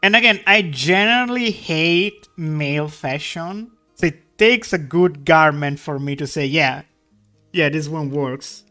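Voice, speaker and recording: A man's voice: fairly high pitch, unhurried, pushed and bright, soft background music. A man streaming live, chatting casually and rambling while he draws. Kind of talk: casual chat while drawing.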